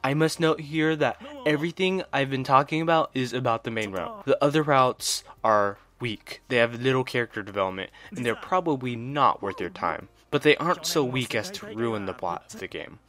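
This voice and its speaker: A man's voice: mid-range voice, normal speed, few pauses, fairly bright tone. A man narrating a scripted video game review in an enthusiastic, opinionated way. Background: background music.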